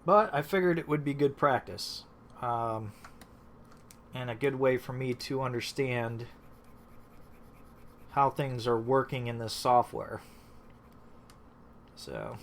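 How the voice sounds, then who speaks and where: A man's voice: medium pitch, slow pace, long pauses, a little hiss or hum. Chatty man hosting a live stream. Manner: rambling, many fillers and restarts. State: recovering from illness. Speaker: host. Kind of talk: casual live-stream chat.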